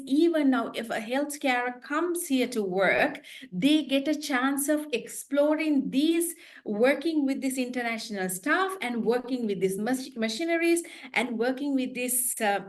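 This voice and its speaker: A fairly high-voiced woman speaks as a panelist in an online webinar, taking her time to explain things thoroughly. She often restarts and corrects herself mid-sentence. Her voice comes through a video call. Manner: earnest, detailed, self-correcting.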